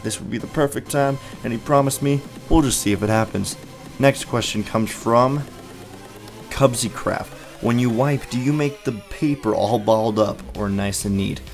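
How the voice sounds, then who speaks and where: Chatty teenage man on a headset mic. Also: on the low side. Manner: chatty, rambling answers with fillers. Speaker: gaming channel host. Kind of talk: casual Q and A video. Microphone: gaming headset mic.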